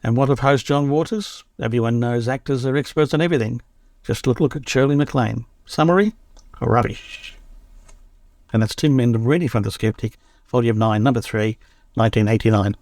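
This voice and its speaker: A man's voice: medium pitch, normal speed, a little mellow, dry recording, clean studio sound. A man reading a magazine article aloud in a wry, opinionated way.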